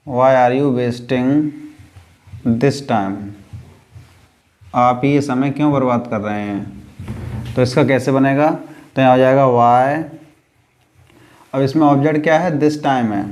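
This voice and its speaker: A man's voice: medium pitch, a little mellow. A man with an Indian accent teaching an English grammar lesson step by step, repeating phrases and answering his own questions. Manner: didactic, repeats phrases and asks himself questions then answers.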